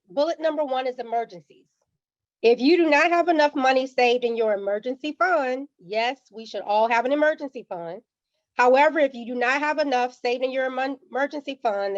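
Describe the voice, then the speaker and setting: A woman with a slightly raised pitch and a touch of rasp from being under the weather, walking listeners through a finance lesson like a teacher. She pauses to check in with a quick "okay?". The sound is dry and a little muffled, like a video call. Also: dry recording. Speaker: guest speaker on personal finance. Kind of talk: church community finance presentation.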